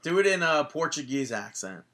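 A man's voice: a little high, sing-song, normal speed, sharp tone. A man co-hosting a casual podcast, teasing his partner playfully while giving his own picks. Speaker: podcast co-host. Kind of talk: casual wrestling podcast chat.

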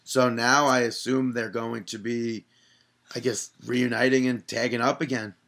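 A man's voice: mid-range voice, flat delivery, unhurried, creaky, fairly bright tone. A young man co-hosting a casual wrestling podcast, teasing his partner and chatting with short reactions and many fillers. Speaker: podcast co-host.

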